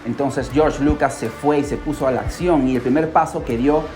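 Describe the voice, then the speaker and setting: A man narrates as a podcast host, with music behind his voice. His delivery is casual and animated, like someone telling a tale to friends, peppered with rhetorical questions and asides. The pitch sits in an ordinary middle range. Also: moderate pace. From Peru.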